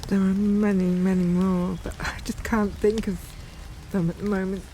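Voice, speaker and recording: A middle-aged or older woman, a poet, reading and reflecting in a halting, thoughtful manner. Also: medium pitch, little pitch movement, noisy background. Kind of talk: poetic reading and reflective documentary talk.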